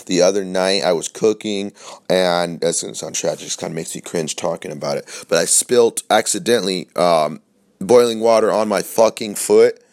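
A man's voice: low-pitched, moderate pace, a little mellow. A young man hosting his own podcast, talking casually and rambling with a scratchy voice from a sore throat, loose and profane, full of fillers and restarts. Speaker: podcast host. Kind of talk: casual solo podcast chat.

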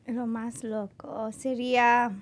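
A woman's voice: a little high, level tone, slow. A woman in her thirties from El Salvador with a Salvadoran Spanish accent, a florist chatting as a podcast guest, bashful and giggly and switching between Spanish and English. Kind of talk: casual bilingual podcast chat.

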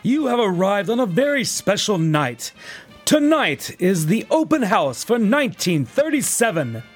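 An actor playing an eccentric, formal club butler in an audio drama, greeting in a ceremonious singsong. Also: male voice, high-pitched, very animated, unhurried, husky, faint music behind, full character voice. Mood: grand welcome.

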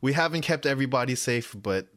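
A man's voice: medium pitch, lively intonation, fast, fairly bright tone. A man with a Filipino accent chatting casually on a livestream, easygoing, often repeating words as he starts a thought. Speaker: stream co-host. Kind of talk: casual chat with friends on a livestream.

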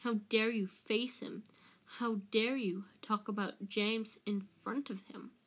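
A woman reader performs a furious, bitter man bent on vengeance in a storybook. He speaks very slowly and forcefully, pressing each accusation home. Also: fairly high pitch, fairly even intonation, lo-fi sound. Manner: slow, forceful, accusing delivery.

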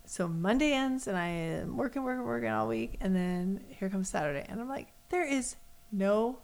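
A woman's voice: on the high side, moderate pace, creaky. An American woman from the West Coast, a co-host chatting casually and telling personal stories, acting out what people said. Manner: animated storyteller, acts out quoted remarks.

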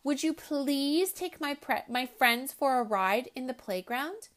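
A bossy, whiny little girl from a children's storybook, put on by a woman reader. She sounds high and squeaky and draws out her demands at a slow pace. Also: expressive, fairly bright tone. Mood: polite.

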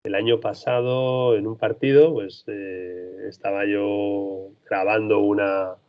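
A man's voice: fairly low pitch, fairly even intonation, slow pace. A man who works as a freelance basketball reporter, answering interview questions in a thoughtful, rambling way with frequent restarts. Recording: dull lo-fi sound.

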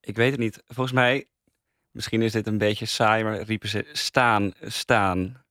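A man's voice: lowish voice, steady tone, a little slow, sharp tone, no echo, clean studio sound. A young man with a Netherlands Dutch accent, chatting casually as a podcast co-host, guarded but dryly playful. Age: young adult. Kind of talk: casual chat.

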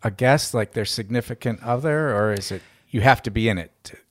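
A man's voice: medium pitch, brisk, no echo, studio quality. A man co-hosting a podcast, chatting casually in a playful way. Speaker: podcast co-host. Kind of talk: casual chat.

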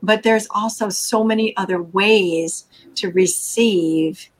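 A woman's voice: medium pitch, slow. Earnest, enthusiastic woman podcast host. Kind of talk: casual podcast chat.

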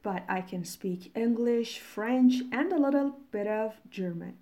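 Female English teacher, native Farsi speaker. Soft mellow tone, very unhurried delivery, explanatory vocabulary lesson. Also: on the high side. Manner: deliberate, explanatory. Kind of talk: vocabulary lesson with definitions and examples.

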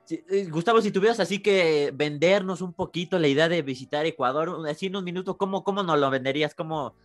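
A man's voice: fairly high pitch, fast, faint music behind. A Mexican man with a Mexican accent hosting a podcast interview in an upbeat, informal way. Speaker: podcast host. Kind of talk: casual interview.

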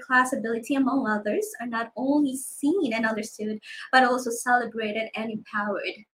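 A woman's voice: on the high side, a bit bright, rough recording. A woman from the Philippines, hosting a live-streamed talk show with frequent ums and restarts. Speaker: host.

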